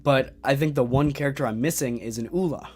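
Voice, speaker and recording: Excitable man hosting a toy livestream. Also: mid-range voice, a little fast, soft background music. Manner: enthusiastic, rambling, many fillers and restarts. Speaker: host of a toy collecting livestream. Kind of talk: casual livestream chat.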